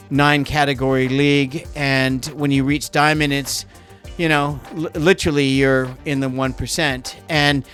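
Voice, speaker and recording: A middle-aged Canadian man hosts a podcast in a casual, rambling way, wandering off on tangents. His voice sits a little higher than most men's, and soft music plays faintly behind him. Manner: rambling, digressive, quick to go off on tangents. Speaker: podcast host. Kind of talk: casual chat.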